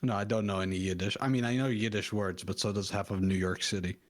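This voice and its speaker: Male co-host, lower voice, slight lisp, laid-back and self-deprecating. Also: steady tone, fast talker. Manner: easygoing, laughs often, self-deprecating. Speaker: co-host of a football watchalong. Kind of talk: casual chat reacting to a live match.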